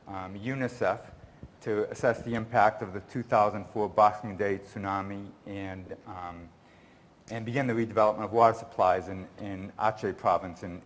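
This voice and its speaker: A man's voice: on the low side, slight background noise. A man speaking at a conference, answering an audience question in a rambling, earnest way, often restarting his sentences. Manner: rambling, self-correcting, earnest.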